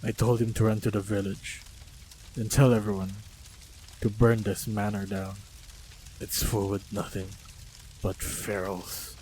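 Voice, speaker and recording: A man with a fairly low voice plays a calm, protective hunter in an audio roleplay. His delivery is slow and composed, with little melodic movement. Faint ambience runs behind him. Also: slight background noise, poor audio. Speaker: voice actor playing a monster hunter. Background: faint sound-effect ambience.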